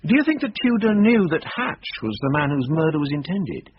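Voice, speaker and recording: A man acting a courteous, dry-witted English police inspector in a radio drama, politely formal and gently probing. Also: on the high side, very animated, brisk. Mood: measured, probing. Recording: dull, noisy radio drama recording.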